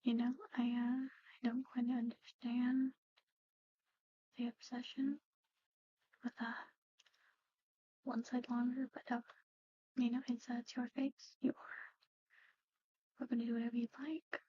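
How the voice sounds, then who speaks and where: A woman doing an ASMR roleplay, speaking softly and in whispers, with a hesitant, halting, gently conversational manner. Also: on the high side, level tone, dull audio.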